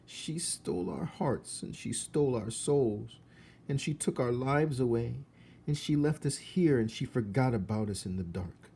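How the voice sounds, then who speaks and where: A man voicing sad, faint ghost children in a storybook, with drawn-out, old-fashioned speech. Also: medium pitch, mellow, husky, pitched above the performer's own voice. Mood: mournful, wistful. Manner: drawn-out, archaic wording.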